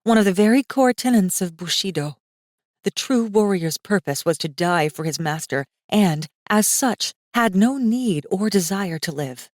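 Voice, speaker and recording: A woman's voice: medium pitch, animated, a little slow, dry recording. A woman reading scripted fantasy fiction as a dramatic audiobook narrator. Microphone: close studio microphone. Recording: dry studio recording.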